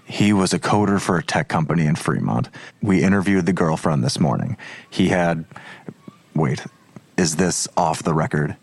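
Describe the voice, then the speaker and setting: A man's voice: sits low, normal speed, gravelly, breathy edge, no echo, full character voice. An actor playing a skeptical police detective in an audio drama, terse and probing in his questions. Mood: guarded, matter-of-fact. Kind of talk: naturalistic audio drama dialogue.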